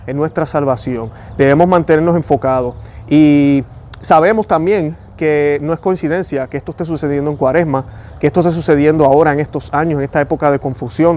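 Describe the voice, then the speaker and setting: Fast-talking Puerto Rican man. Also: a little high, a little mellow. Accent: Puerto Rican Spanish. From Puerto Rico. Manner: fast-talking, earnest, prayerful. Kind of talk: devotional talk and prayer.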